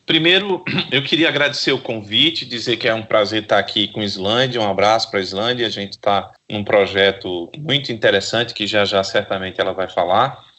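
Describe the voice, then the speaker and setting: A man's voice: fairly low pitch, a little fast, few pauses, pushed and bright. A man, speaking didactically as an expert guest in an interview. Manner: didactic, academic wording. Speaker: guest expert, psychologist and professor. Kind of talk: explanatory interview talk.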